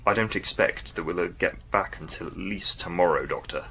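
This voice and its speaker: A man acting a composed, practical senior army officer in an audio drama. His voice is low but crisp-edged and forceful, over faint background noise. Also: fairly quick, exaggerated voice. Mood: composed, advising.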